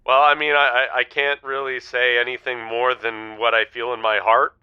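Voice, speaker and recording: A man playing a flustered, lovelorn radio show caller who speaks in a halting, earnest, pleading way. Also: mid-range voice, little pitch movement, clear, resonant tone, no echo, lo-fi sound, close to an everyday voice. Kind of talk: comedic radio call-in acting.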